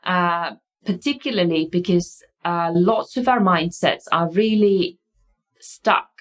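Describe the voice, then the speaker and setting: Low-voiced Finnish-accented woman lecturer. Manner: thoughtful, often halting with fillers and restarts. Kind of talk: explaining lecture.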